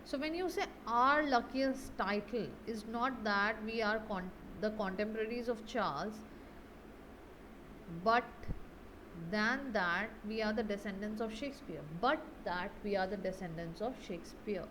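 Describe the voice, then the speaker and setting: A woman's voice: mid-range voice, measured pace, fairly bright tone, poor audio. Woman teacher, noticeable background noise. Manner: didactic, often ends points with a checking tag question. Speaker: English grammar teacher. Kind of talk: explaining grammar exercise answers.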